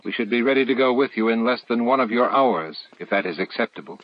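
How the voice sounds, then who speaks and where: A man's voice: medium pitch, lively intonation, quick pace, lo-fi sound, natural voice. A man voicing an earnest young male alien official in a formal, persuasive manner for an audiobook. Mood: respectful.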